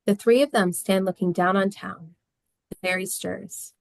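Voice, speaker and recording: A woman's voice: medium pitch, fairly even intonation, unhurried, vocal fry, no room sound. A woman narrating the stage directions of a screenplay at a table read.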